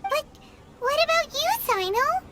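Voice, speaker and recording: A woman voicing a small, childlike, excitable fairy girl companion in a video game, squeaky and cutesy. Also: a little slow. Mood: concerned. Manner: exclamatory, refers to self in third person. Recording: music playing behind the voice.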